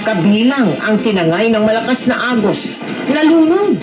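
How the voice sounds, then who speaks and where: A woman's voice: on the high side, sing-song, moderate pace. Emphatic Filipino woman reading news headlines. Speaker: news anchor. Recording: echoey TV broadcast, loud music bed. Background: loud news music.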